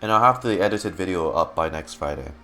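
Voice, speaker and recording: A man streaming casual game reaction commentary, excitable and often repeating his words. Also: low voice, fairly quick, faint music behind.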